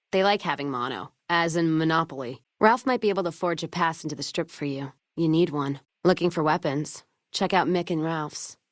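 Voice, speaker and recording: Game companion role, played by a woman: tough American wasteland woman, low register, dry sound, gruff and sardonic, foul-mouthed drinker with folksy slang. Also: dry recording, lightly acted. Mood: sardonic, wary. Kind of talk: video game companion voice lines.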